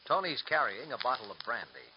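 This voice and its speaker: A man narrating a 1940s radio drama in a measured, scripted way. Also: mid-range voice, very animated. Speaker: announcer. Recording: dull old radio recording with clear noise and clicks.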